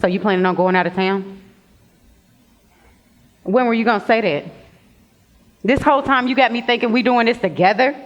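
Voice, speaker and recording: A woman's voice: on the high side, moderate pace, pauses often, close to an everyday voice. A woman playing a possessive, street-talking teenage best friend in a stage play. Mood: hurt, accusing. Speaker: stage actor. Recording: dull lo-fi stage recording.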